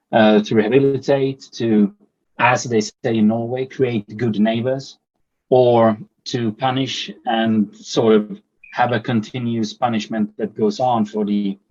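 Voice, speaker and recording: A man's voice: mid-range voice, darkish tone, dull audio. A man from Finland, a documentary producer and podcast guest, answering thoughtfully and repeating words as he searches for phrasing. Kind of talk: casual interview answers.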